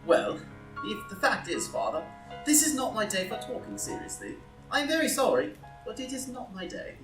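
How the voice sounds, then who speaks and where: A woman's voice: a little high, big pitch swings, normal speed. A woman playing a foppish, idle, witty young lord in a comedy play reading, languid and arch. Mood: teasing, evasive. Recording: roomy live reading, distant mic, music.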